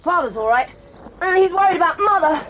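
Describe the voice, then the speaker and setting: An actress voicing a proud, quick-tempered tomboy girl in an audio drama, talking in a brisk, bossy and eager way. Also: extremely high pitch, animated, fairly bright tone, slight background noise, poor audio. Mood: excited, lively. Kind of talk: 1980s audio drama acting.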